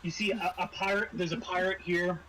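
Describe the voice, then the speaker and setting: A man running a tabletop roleplaying game, narrating casually and haltingly, often repeating words. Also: high-pitched, steady tone, a crowd in the background, low-quality recording. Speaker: game master.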